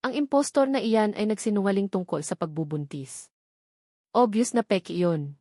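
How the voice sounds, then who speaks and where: Woman performing as icy elderly Filipino matriarch in an audio drama: wealthy, sharp, determined and calculating, with a dry close sound. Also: mid-range voice, normal speed, no room sound, natural voice. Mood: cold, calculating. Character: cold, scheming elderly rich matriarch.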